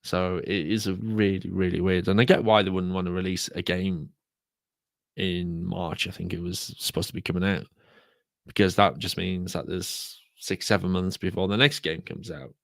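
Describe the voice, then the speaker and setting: A British man hosting a solo podcast, talking casually and repeating himself, croaky from a sore throat. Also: low-pitched, steady tone, moderate pace, fairly bright tone, no echo. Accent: British English. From United Kingdom. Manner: rambling, repeats words. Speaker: podcast host.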